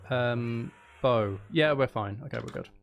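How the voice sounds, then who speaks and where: Male game streamer, mid-pitched with heavy creak; self-mocking, sweary, chatty thinking-aloud commentary. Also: a little slow. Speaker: gaming video host.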